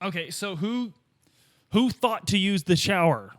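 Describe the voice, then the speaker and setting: A man's voice: high-pitched, expressive, a little slow, no echo, clean studio sound. A man playing an earnest amnesiac detective in a tabletop radio play, informal, persistent and imploring. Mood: earnest questioning.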